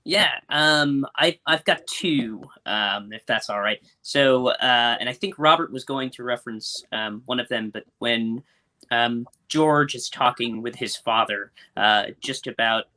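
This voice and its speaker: A man's voice: mid-range voice, measured pace, sharp tone. A young man talking casually and thoughtfully as a panelist on a video call from home. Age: young adult.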